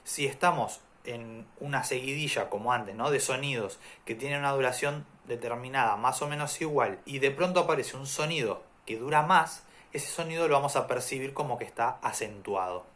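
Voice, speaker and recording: A man at a middle pitch teaching a music theory lesson. He explains in a calm, methodical way, laying out one example after another. His delivery is steady and patient, like a teacher working through a lesson plan. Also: moderate pace, hardly any pauses.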